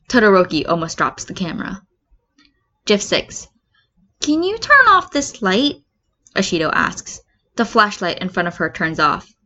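A woman's voice: lowish voice, pushed and bright. Woman narrating fiction, slightly dull recording. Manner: acts out comments and quotes. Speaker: fan fiction reader. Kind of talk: scripted narration of a comedic story.

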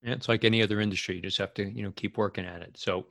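A man's voice: lowish voice, steady tone, rapid-fire, gravelly, a bit bright, dry recording. A man hosting an interview podcast in a conversational style.

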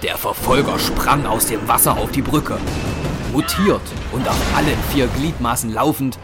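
A man's voice: medium pitch, normal speed. A man narrating a scripted dramatic audio story. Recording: very loud music and battle effects behind.

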